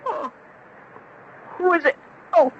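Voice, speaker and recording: A man's voice: extremely high pitch, expressive, takes it slowly, exaggerated voice. An actor playing a troubled young man, a surfer, in a 1970s radio drama. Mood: dazed, shaken. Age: young adult. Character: pale, troubled young surfer recounting his near-death. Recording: dull, noisy old radio broadcast.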